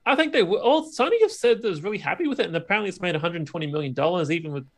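A man's voice: high voice, lively intonation, rapid-fire. A man co-hosting a podcast in casual, conversational chat about films and games. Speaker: podcast co-host.

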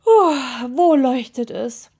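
A little witch girl from a children's story, played by a woman, kind but easily exasperated. Her speech is expressive and swings freely up and down in pitch. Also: normal speed. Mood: sleepy, doubtful. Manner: expressive, muttering to exclaiming.

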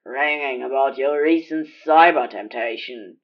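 Audio story villain: menacing demon , voiced by a woman, deep, slow sneer, dull radio sound. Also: full character voice. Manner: menacing, scornful. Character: sneering, gloating demon from head office. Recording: dull, band-limited radio-style sound.

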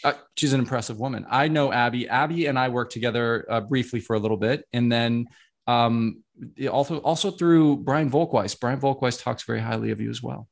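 A man's voice: mid-range voice, brisk, vocal fry. A man co-hosting a casual podcast interview, easygoing, who asks long, rambling questions. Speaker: podcast co-host.

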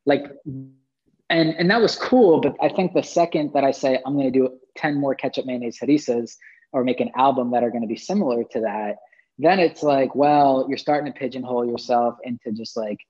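Man, husky voice, dull lo-fi sound, rambling casual talk, musician guest. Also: medium pitch, brisk. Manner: relaxed, rambling, heavy use of "like". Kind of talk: casual conversation.

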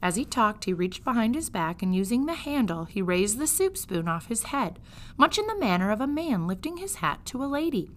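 A woman teacher reading a children's book aloud in an expressive storytelling style. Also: fairly high pitch, moderate pace.

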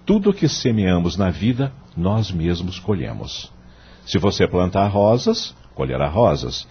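Fatherly brazilian law professor, super swoopy intonation, low husky voice, radio play. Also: very animated, dull audio, a little hiss or hum. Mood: earnest, didactic. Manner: didactic, measured, moralizing, rhetorical questions. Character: wise, kindly male law professor, fatherly mentor. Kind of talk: radio drama acting.

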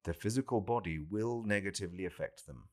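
Man reading as a solemn divine teacher, low-pitched. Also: close to an everyday voice. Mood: solemn teaching. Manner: solemn, deliberate, pronouncing. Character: calm, authoritative male divine saviour teaching a follower.

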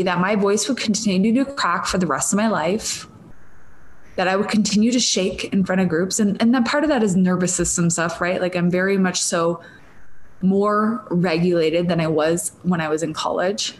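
A woman's voice: lowish voice, normal speed, slight background noise. A woman in her thirties from Minnesota, with a Minnesotan accent, hosting a solo podcast in a rambling, stream-of-consciousness way with laughing asides. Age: 30s. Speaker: podcast host. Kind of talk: casual solo podcast monologue.

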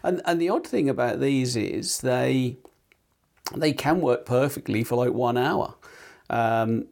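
Man, podcast co-host, gravelly, rambling, sore tongue. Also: medium pitch, takes it slowly, darkish tone. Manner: rambling, many fillers and restarts. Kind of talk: casual tech chat.

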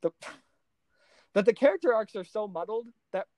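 Animated podcast co-host, close dry sound. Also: mid-range voice, moderate pace, no room sound. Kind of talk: casual film discussion.

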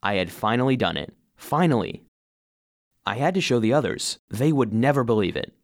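A man's voice: medium pitch, big pitch swings, normal speed. A man playing an earnest, eager cleaning robot who narrates his own story expressively in a children's audio drama. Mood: excited, hushed.

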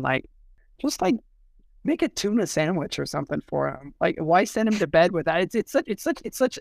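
Male podcast discussion, fairly high voice, creaky fry, halting and rambling, casual, dry close sound. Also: no echo. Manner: halting, rambling, repeats words as thoughts form. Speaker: poetry editor discussing poems on a podcast. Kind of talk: casual discussion with a short read-out.

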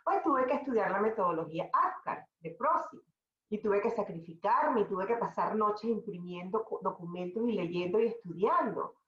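A middle-aged Venezuelan woman, a podcast guest, telling her career story in a conversational, animated way with many restarts. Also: mid-range voice, normal speed, roomy sound, highs cut off. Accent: Venezuelan Spanish. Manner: animated, talks in restarts and asides.

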